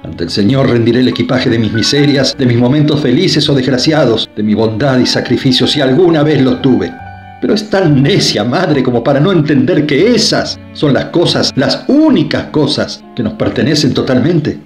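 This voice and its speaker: A man's voice: mid-range voice, animated, normal speed, roomy sound, faint music behind, lightly acted. A man voicing a resolute middle-aged son who confronts his mother in a radio drama. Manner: firm, earnest, impassioned.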